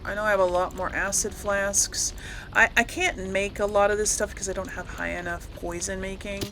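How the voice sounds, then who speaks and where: A woman's voice: medium pitch, steady tone, normal speed, fairly bright tone, slight background noise, rough recording. A woman giving casual, rambling commentary as she plays a game, often correcting herself and lightly amused. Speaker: gaming host.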